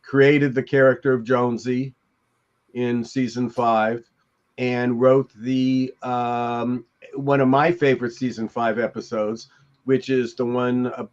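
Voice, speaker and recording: Male co-host in his sixties or seventies, muffled low-fidelity call sound, halting casual reminiscence. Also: level tone, a little mellow. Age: older, 60s or 70s. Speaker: podcast co-host. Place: room at home, indoors. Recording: dull, lo-fi call audio.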